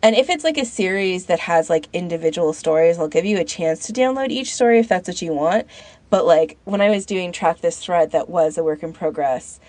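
A woman's voice: on the low side, lively intonation, brisk, a little mellow, no echo. A woman co-hosting a podcast in casual chat, rambling informally as she thinks aloud. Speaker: podcast co-host.

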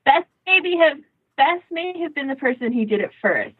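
A woman co-hosting a podcast in casual chat, often interrupting herself as she tells things in an animated way. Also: high-pitched, moderate pace, no room sound, narrow, tinny audio. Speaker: podcast co-host.

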